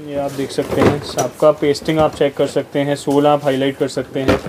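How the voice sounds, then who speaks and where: A man who runs a wholesale business, giving a sales pitch in a steady, flat manner and listing brands and prices. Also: brisk, noisy background. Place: warehouse.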